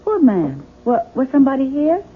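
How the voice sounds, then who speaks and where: An actress playing a devoted, anxious young wife in a 1970s radio drama, husky, tender and hesitant. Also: female voice, sits high, wildly swooping pitch, moderate pace, a little hiss or hum. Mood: affectionate. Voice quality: husky, breathy. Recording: muffled, narrow-band vintage radio recording.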